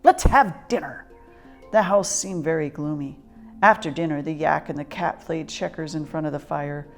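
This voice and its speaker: A woman reading a children's picture book aloud as an expressive, dramatic storyteller. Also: low-pitched, creaky. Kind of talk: expressive scripted story narration.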